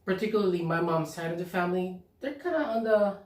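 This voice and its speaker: A man from the Philippines co-hosting a casual couple's vlog, talking in a halting, easygoing way and laughing often. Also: high-pitched. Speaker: vlog co-host. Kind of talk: casual chat. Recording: echoey room, mic at a distance.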